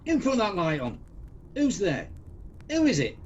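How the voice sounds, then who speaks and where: A man's voice: high voice, wildly swooping pitch, measured pace, a little mellow, a little hiss or hum, full character voice. A man acting a grumpy, sceptical old miser in a radio play, with a blustering, indignant, exclamatory delivery. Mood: irritable. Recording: dull lo-fi sound, music behind.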